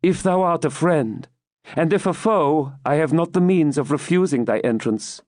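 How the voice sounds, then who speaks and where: A man's voice: fairly high pitch, normal speed, a little mellow, no room sound, clean studio sound, voice pushed up, lightly acted. A man voicing a proud, devout young woman captive in an audiobook, with formal, archaic and earnest speech. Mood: guarded. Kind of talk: dramatised audiobook reading.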